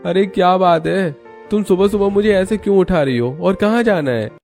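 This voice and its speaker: A man puts on a young husband in a cartoon family drama. He is dutiful and reluctant, pitched well above the narrator's usual low register. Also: moderate pace, darkish tone, faint music behind. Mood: sleepy, puzzled. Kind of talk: cartoon story dialogue.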